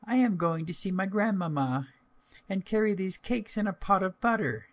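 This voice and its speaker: An innocent, obedient little girl from a fairy tale, put on by a man. The voice is pitched far up, swooping and sing-song, with wide-eyed simplicity. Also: animated, moderate pace. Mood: innocent, chatty.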